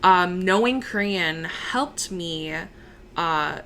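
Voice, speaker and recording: Slow, bright-toned young woman chatting. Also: on the low side, a little hiss or hum. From United States. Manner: relaxed and chatty, many fillers, laughs easily. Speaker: interview guest, opera singer. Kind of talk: casual conversational interview.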